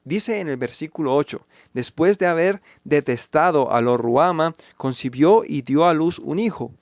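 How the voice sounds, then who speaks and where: A man with a somewhat high, gravelly voice delivers scripted commentary on Bible passages. He reads the verses slowly and with emphasis. The sound is dry and close, with no room around it. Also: lively intonation, dry recording. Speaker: channel host refuting a pastor.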